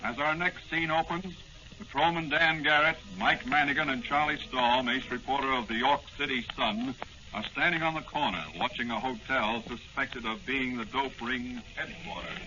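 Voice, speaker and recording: A man's voice: mid-range voice, normal speed, bright, forceful tone. A man narrating a crime-hero serial in earnest 1940s scripted radio style. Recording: muffled, noisy old-time radio recording.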